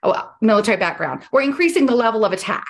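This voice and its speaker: A woman's voice: a little high, animated, quick pace. A woman coaching salespeople on a group call, direct and emphatic, repeating her key points, and losing her voice.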